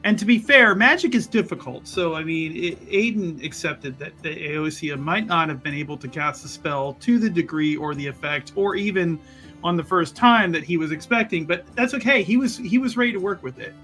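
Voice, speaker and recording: Bright-voiced man, wry tabletop player. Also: on the high side, moderate pace, soft background music. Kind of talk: casual banter and commentary.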